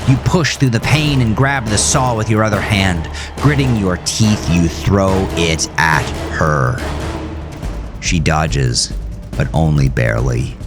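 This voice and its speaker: A man reading his own horror story as a dramatic, intense scripted narration. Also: fairly low pitch. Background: music under the voice.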